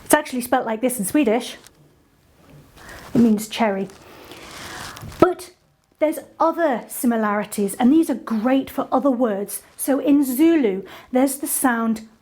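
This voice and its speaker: A woman hosting a casual online singing lesson, chatty and playful with quick asides. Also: a little high, expressive, dark tone, slight background noise. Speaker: singing teacher and host.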